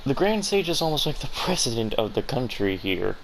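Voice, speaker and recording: A man's voice: medium pitch, big pitch swings, a little fast, creaky, slight background noise, rough recording. A man giving casual, opinionated, rambling commentary as host of a game playthrough. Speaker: gaming video host.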